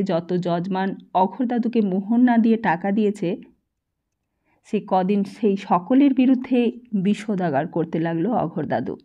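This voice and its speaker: A woman's voice: mid-range voice, warm tone, highs cut off. A woman narrator reading a literary story as a scripted audiobook.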